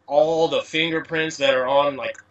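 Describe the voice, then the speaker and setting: A man's voice: a little high, steady tone, moderate pace, dull audio, over a noisy crowd. A man from the USA, a guest on an interview, talking in a rambling casual way with lots of "you know" fillers. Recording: distant from mic.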